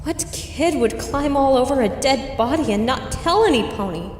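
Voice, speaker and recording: A woman's voice: high voice, animated, normal speed, darkish tone, slight background noise. A woman voicing a shaken young female pony in a fan fiction audio drama. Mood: appalled.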